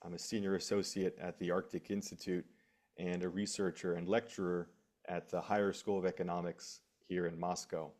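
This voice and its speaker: A man's voice: low voice, level tone, brisk, darkish tone. An American man, a researcher and lecturer, introducing himself steadily and formally on a panel over a video call. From United States.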